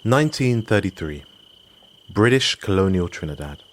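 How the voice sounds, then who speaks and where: A man's voice: sits low, expressive, moderate pace. A man from Morocco hosting a podcast, giving scripted documentary narration in an emphatic, personal storytelling manner. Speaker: podcast host.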